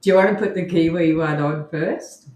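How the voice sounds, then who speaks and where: A woman's voice: low-pitched, moderate pace, darkish tone. An Australian woman co-hosting a casual live podcast, chatting in a rambling way with lots of restarts. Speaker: podcast co-host. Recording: roomy echo.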